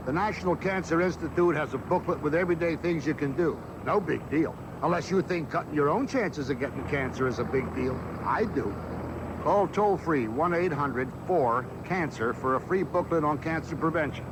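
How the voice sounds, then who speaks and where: A man acting as a regular American construction worker in an eighties radio announcement. His fairly high voice is direct and colloquial. Clear noise runs behind him on the cassette recording. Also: expressive, normal speed, lightly acted. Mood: matter-of-fact. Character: plainspoken male construction worker in health announcement. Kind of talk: 1980s public service radio ad.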